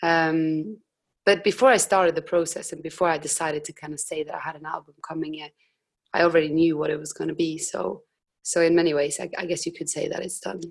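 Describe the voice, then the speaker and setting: A young woman with a husky voice, a musician guest chatting casually in an interview, hesitant with frequent restarts. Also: deep voice, fairly even intonation, brisk.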